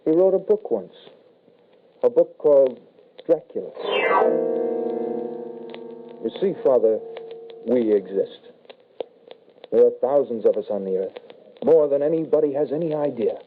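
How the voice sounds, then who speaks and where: An actor playing an undead shapeshifting son, calmly menacing and apologetic, in old-time radio horror style. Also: male voice, medium pitch, moderate pace, pauses often, natural voice. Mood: matter-of-fact. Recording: tinny, band-limited vintage radio broadcast.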